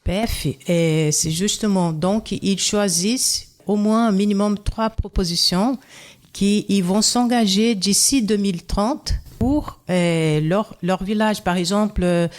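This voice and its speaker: A Brazilian woman speaking French as a second language with a Brazilian accent, a radio guest who pauses and restarts to find her words. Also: mid-range voice, a little mellow. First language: Brazilian Portuguese. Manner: halting, searching for words, many restarts. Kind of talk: radio interview.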